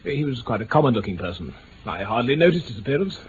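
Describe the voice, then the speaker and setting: An actor playing a pompous, easily affronted aristocrat in a radio drama, with an upper-class English accent and a stiff, hesitant delivery. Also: male voice, medium pitch, very animated, quick pace, close to an everyday voice. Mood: defensive, disdainful. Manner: pompous, stiff, hesitant over delicate words. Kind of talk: 1950s-60s BBC radio drama acting. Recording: muffled, hissy old radio recording.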